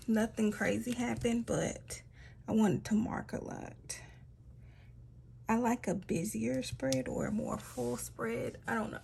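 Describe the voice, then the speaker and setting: A woman talks through her planner spread at an unhurried pace, her fairly high voice carrying a gravelly, slightly raspy edge. She chats loosely and often drops into a soft half-whisper. Steady hiss and noise sit under the recording. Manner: relaxed, chatty, trailing off with fillers. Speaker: planner vlogger. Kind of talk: casual talk-through while decorating.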